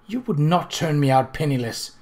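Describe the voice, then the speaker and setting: A man's voice: fairly high pitch, lively intonation, normal speed, a little mellow. A man voicing a proud, enraged woman in a storybook reading, bitter and indignant as she exclaims.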